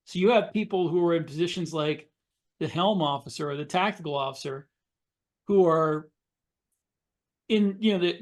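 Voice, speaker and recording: A man with a voice a little higher than average chats on a podcast panel as a science fiction writer. He speaks in a casual, halting way, doubling back to repeat and reword his phrases.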